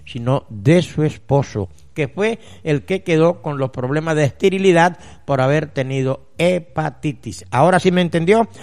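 A man with a somewhat high voice presents a radio advice show. He speaks straight to his listeners as a natural healer, emphatic and deliberate, pausing between phrases. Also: a little mellow. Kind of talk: radio advice show, reading listeners' letters and answering them.

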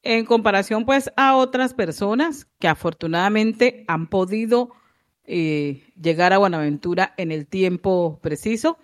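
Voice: mid-range voice, measured pace. A radio news reporter presenting a midday bulletin and reading time checks. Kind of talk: news broadcast.

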